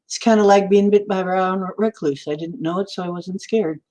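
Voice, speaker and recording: A woman's voice: mid-range voice, brisk, dark tone. Rambling woman in her sixties. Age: 60s. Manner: chatty, meandering, answers viewer comments. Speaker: livestream host. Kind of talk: rambling livestream chat.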